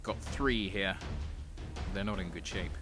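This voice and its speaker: A man playing a gruff battlefield soldier in an audio drama, with a gravelly voice. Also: low-pitched, unhurried. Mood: urgent. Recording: loud music over the voice. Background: loud dramatic music.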